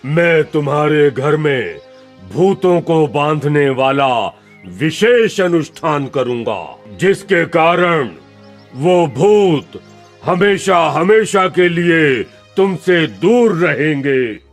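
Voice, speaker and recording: Tantric holy man played by a man, slow, slightly high voice, solemn and reassuring, horror cartoon. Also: lively intonation, soft background music. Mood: solemn reassurance. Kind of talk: horror cartoon story acting.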